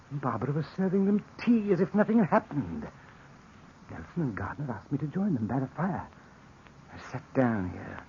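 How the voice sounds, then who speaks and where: A gravelly, husky-voiced villain captain in an old radio play, his high voice lurching up and down as he blusters and unravels. The sound is dull and muffled like an old broadcast transcription. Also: slight background noise, heavily acted. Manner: blustering, grows frantic and shrill. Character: scheming male ex-actor captain turned murderer. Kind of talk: 1940s radio acting.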